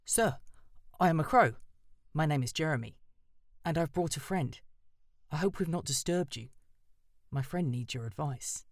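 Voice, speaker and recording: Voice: mid-range voice, lively intonation, moderate pace, long pauses, dry recording, studio quality, close to an everyday voice. A narrator voicing an eager, polite male crow who speaks courteously and pleadingly.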